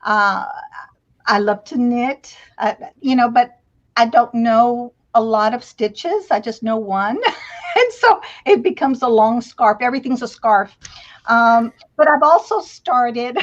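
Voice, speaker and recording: A woman chatting casually as a panelist, in a halting, unhurried way. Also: fairly high pitch, animated. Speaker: children's author, panelist. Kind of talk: casual chat. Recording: dull, lo-fi call audio.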